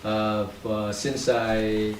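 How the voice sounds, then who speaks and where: An elderly man from North Vietnam, a retired professor, tells his life story in an unhurried, halting way, full of ums and false starts. He sits back from the microphone in a roomy, echoing space, with a faint hiss underneath. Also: on the low side, level tone. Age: 80s. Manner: hesitant, many ums and restarts. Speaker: interview guest, professor. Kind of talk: slow reminiscing interview answer. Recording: echoey room, mic at a distance, faint hiss.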